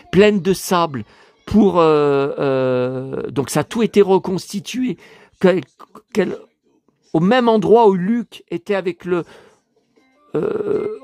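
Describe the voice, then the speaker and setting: A hoarse middle-aged man talks in a fairly high voice, rambling from one thought to the next and repeating his words. He stammers and breaks into emotional asides. The sound is dry and close, as if spoken into a phone. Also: a little mellow, no echo. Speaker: YouTuber. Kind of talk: casual rambling vlog chat.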